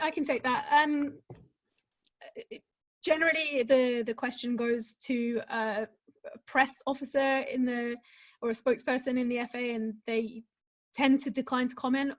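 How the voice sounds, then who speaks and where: High-pitched woman, even intonation. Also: dry recording. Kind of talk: answering questions, conversational. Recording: slightly dull video call audio.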